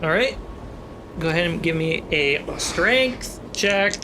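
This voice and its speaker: A man with a somewhat high voice runs a tabletop fantasy game as game master, describing scenes and calling for rolls. Clear ambient noise sits behind his voice. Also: expressive, slow. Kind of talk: narrating a tabletop fantasy game among friends.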